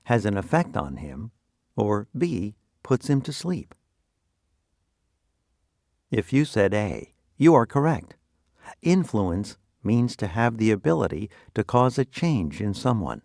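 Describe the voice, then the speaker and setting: A man presenting scripted educational quiz questions and answers with deliberate, instructional phrasing. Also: lowish voice, vocal fry, a little mellow, no room sound, clean studio sound.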